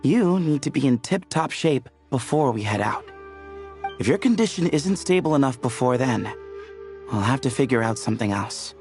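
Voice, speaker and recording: A man voicing a decisive, practical expedition organiser in a video game, speaking briskly and directly. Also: mid-range voice, animated, darkish tone, lightly acted. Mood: firm, friendly. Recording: music clearly audible behind the voice.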